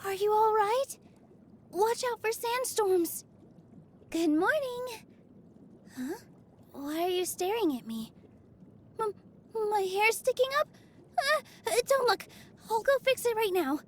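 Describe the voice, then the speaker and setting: A woman voicing a gentle, sunny young dancer in a video game, earnest and encouraging, with a sweet, coaxing manner. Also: very high-pitched, lively intonation, slow, pauses often, exaggerated voice. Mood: flustered. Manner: sweet, soft-hearted, bubbly, coaxing. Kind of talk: scripted video game character lines.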